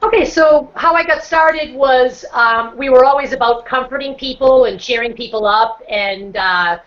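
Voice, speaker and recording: A woman's voice: high voice, moderate pace, fairly bright tone. A middle-aged woman from Boston with a Boston accent, talking at length and earnestly as a guest speaker on a video call. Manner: talkative, earnest, self-described talker. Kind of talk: casual online chat. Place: home setup on a video call. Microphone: computer microphone on a video call. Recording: dull lo-fi video-call audio.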